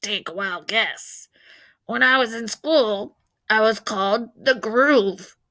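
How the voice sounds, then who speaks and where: A woman's voice: a little high, slow, pushed and bright, full character voice, poor audio. A woman reading a storybook, playing a kindly, teasing old grandfather who gives folksy, wry advice. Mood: fondly reminiscing. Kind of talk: storybook read-aloud character voice.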